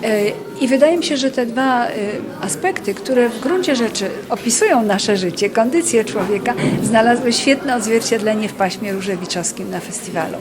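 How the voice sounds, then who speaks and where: Bright-pitched woman, earnest, crowd behind. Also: animated, normal speed, hardly any pauses. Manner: earnest, articulate with fillers. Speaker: festival event host interviewed. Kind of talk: spontaneous street interview.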